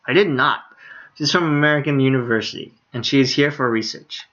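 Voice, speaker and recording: A male streamer with a medium-pitched voice and slightly dull audio. He reads game dialogue aloud informally and drops amused asides between lines. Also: a little fast, bright, forceful tone.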